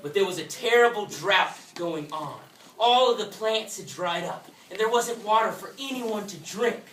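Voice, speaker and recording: A woman's voice: medium pitch, moderate pace, slight background noise. Echoey, distant woman storytelling for children. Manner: animated, folksy, exclamatory. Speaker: puppet show host and storyteller. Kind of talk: live interactive storytelling for children. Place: indoor room with an audience. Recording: echoey room, distant mic, music.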